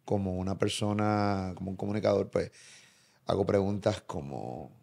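Male podcast host, 40s, slightly low pitch. Casual interviewer, careful and halting, bluntly slangy. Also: creaky, a little mellow. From Puerto Rico. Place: small indoor room by a kitchen. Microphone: podcast microphone on boom arm.

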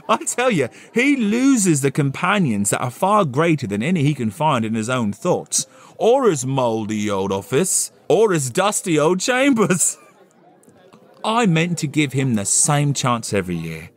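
A man playing a cheerful, good-natured young gentleman with a hearty, chuckling, animated manner in an audio play. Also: medium pitch, voice pushed up. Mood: warm, amused. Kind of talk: theatrical audio play of a classic novel.

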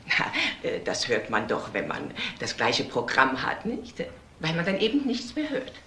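Low-voiced, swooping chatty baroness. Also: female voice, huge pitch swings, normal speed, fairly bright tone, a little hiss or hum, heavily acted. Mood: fussy, indignant. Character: chatty, self-assured elderly baroness, eager witness. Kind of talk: German crime radio drama acting.

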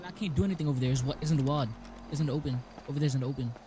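Guy on game chat, super fast casual talk, soft dark tone. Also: mid-range voice, a little hiss or hum. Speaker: fellow role-play gamer.

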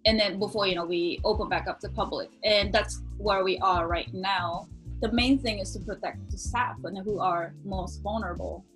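A woman's voice: medium pitch, steady tone, normal speed, a bit bright. Woman restaurant owner, interview sound bite, earnest, faint music bed. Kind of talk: interview answer.

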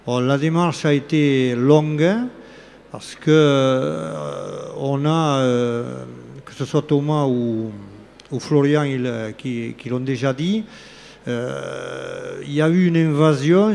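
Older man, elected official, live fair-stand interview, halting speech, handheld mic, faint hall noise behind. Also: mid-range voice, creaky, a little mellow. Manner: hesitant, many fillers and restarts. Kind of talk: live talk-show interview. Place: open studio set at a trade fair hall. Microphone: red handheld microphone.

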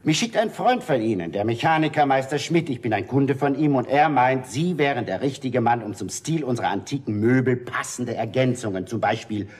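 A polite gentleman customer in a radio drama. He speaks formally in a medium-pitched, husky voice with strongly varied intonation. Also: animated, fairly quick, hardly any pauses. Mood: courteous. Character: courteous, well-spoken gentleman customer. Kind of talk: German children's radio play.

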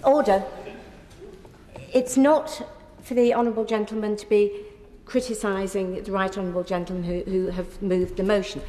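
An older woman chairing a parliamentary debate, making firm, brisk procedural rulings. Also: medium pitch, fairly even intonation, warm tone. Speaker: deputy speaker chairing debate. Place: debating chamber. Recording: echoey chamber with long reverb.

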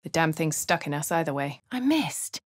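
An actress voicing a dry-witted, composed female adventurer in a video game, with a husky upper-class English accent and a crisp, measured delivery. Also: low-pitched, sing-song, no room sound, full character voice. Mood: wry. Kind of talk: video game voice lines, in-character narration. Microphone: close studio mic.

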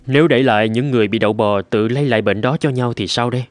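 A man's voice: mid-range voice, fast talker, lightly acted. A man playing an earnest, caring country doctor in a cartoon dub, serious and concerned. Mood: troubled. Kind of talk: cartoon dub with first-person storytelling. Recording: music under the voice.

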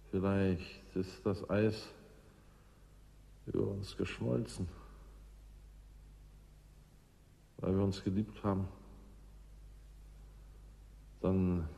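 Low, gravelly, brooding scientist. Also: male voice, warm tone, exaggerated voice. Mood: resigned. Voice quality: husky, gravelly, breathy. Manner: slow, halting, deliberate. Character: brooding male scientist, tender, resigned theorist. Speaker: actor in a radio play. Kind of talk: German science fiction radio drama acting.

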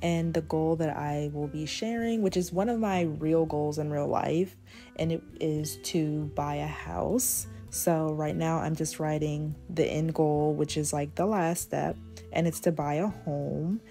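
Woman, twenties, hoarse, deep low voice, mellow tone, casual journaling vlog host. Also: fairly even intonation, soft background music. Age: 20s. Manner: deliberately speaking in a lower register. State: losing her voice, hoarse. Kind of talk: casual talk over journaling.

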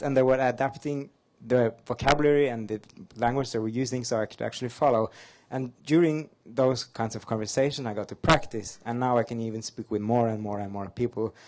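Casting: man from Norway, native Norwegian speaker, conference presenter, upbeat and light-hearted. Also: mid-range voice. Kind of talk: fast-paced slide talk. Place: conference hall.

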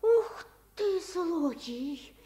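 Radio play: superstitious old peasant nanny, folksy and exclamatory, high voice, speech. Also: female voice, animated, drawn-out words, dark tone, heavily acted. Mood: suspicious, scolding. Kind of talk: radio drama acting.

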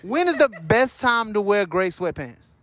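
A man's voice: sits high, big pitch swings, a little slow, fairly bright tone. A young man, a street interviewer asking playful questions with a teasing, jokey manner, recorded at night in an arcade and outside on a city street. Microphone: handheld phone.

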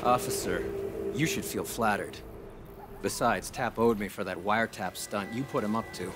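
An actor playing a composed, smug criminal schemer in a video game cutscene. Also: male voice, fairly low pitch, normal speed, loud music behind the voice, natural voice. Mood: smug, calm.

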